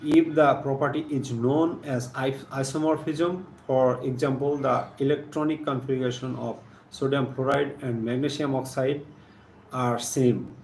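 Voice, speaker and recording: A man with a Bangladeshi accent giving a live chemistry lesson, partly reading aloud in a halting, deliberate manner, repeating phrases. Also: medium pitch, fairly even intonation, a little mellow. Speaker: science teacher hosting a live stream. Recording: echoey room with faint background noise.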